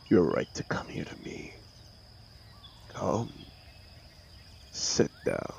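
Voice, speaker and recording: Man playing a very deep, gravelly telepathic villain. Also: slight background noise, full character voice. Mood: coaxing, wry. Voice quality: gravelly, breathy at times. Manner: slow, theatrical, hushed, commanding yet soothing. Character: brooding telepathic male villain turned gentle protector. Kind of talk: audio roleplay drama, intimate comforting monologue.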